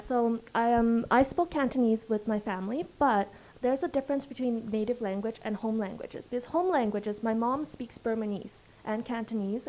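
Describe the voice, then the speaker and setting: Mellow-voiced woman sharing thoughtfully. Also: fairly high pitch, poor audio. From Canada. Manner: thoughtful, personal, many ums. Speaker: panelist. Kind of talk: panel discussion.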